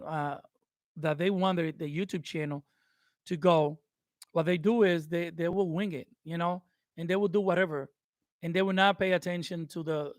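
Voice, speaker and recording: High-voiced man, Spanish native speaker. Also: dry recording, clean studio sound. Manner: hesitant, many fillers and restarts. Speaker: YouTube creator. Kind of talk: casual advice talk to camera.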